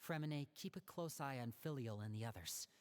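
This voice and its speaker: A man's voice: mid-range voice, lively intonation, brisk, natural voice. An actor playing a composed, thoughtful young man who leads his siblings, in video game dialogue. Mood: serious. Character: clever young man, a magician and an older brother.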